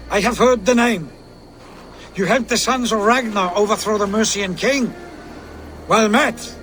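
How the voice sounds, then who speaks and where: A man's voice: sits high, a little slow, a bit bright, faint music behind. A man playing a battle-worn, proud Danish warrior in a video game, telling things grimly and with emphasis. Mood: proud, guarded. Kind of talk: video game character acting.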